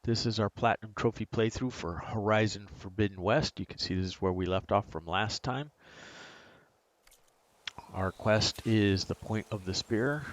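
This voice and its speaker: A man's voice: lowish voice, moderate pace. A man hosting a casual video game playthrough, commentating as he plays. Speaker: gaming channel host. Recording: game audio noise behind voice. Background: video game sounds.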